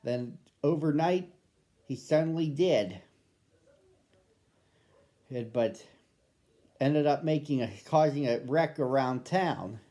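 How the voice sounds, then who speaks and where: A man introduces a picture book to children, speaking slowly and chatting with them directly. His voice sits a little high, and he asks them simple questions before he starts reading. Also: dark tone. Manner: friendly, addresses children directly. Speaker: storybook reader.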